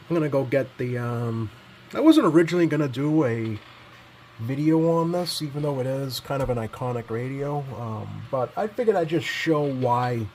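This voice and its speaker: A man giving casual, unscripted commentary as a repair technician, pausing often with many ums as he goes. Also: mid-range voice, darkish tone, slight background noise. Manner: halting, hesitant delivery heavy with ums and pauses. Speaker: repair technician presenting his bench work.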